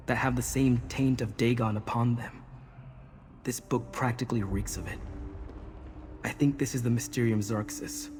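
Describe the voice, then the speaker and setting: A man's voice: mid-range voice, darkish tone, lightly acted. An actor playing an earnest, resolute young man in a video game, explaining things intently. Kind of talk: video game character acting. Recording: game music behind the voice.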